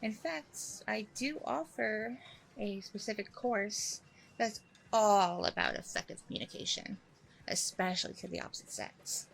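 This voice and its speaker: A woman playing a chatty, self-assured dating coach in an ASMR roleplay, rambling and reassuring with a creaky voice. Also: mid-range voice, very animated, a little slow, a little hiss or hum, rough recording. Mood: encouraging. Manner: rambling, hesitant asides, reassuring. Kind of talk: ASMR roleplay, one-sided conversation with the listener.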